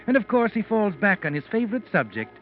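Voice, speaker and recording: An actor playing a knowing, sardonic narrator of an old-time radio mystery, measured and insinuating as he addresses the culprit. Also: high voice, big pitch swings. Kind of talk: 1940s-style radio mystery narration in second person. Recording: muffled old radio recording, music underneath.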